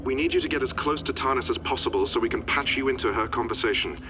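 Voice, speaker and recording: A man voicing a matter-of-fact intelligence handler giving a brisk, official mission briefing in a video game. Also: medium pitch, close to an everyday voice. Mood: businesslike. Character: businesslike male intelligence handler giving orders. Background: music.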